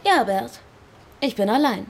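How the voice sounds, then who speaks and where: A woman's voice: on the high side, lively intonation, a little slow, vocal fry, a little hiss or hum. An actress playing a cool, self-assured, flirtatious woman with a mocking manner in a German radio drama. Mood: teasing, probing. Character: cool, teasing seductive woman under police suspicion.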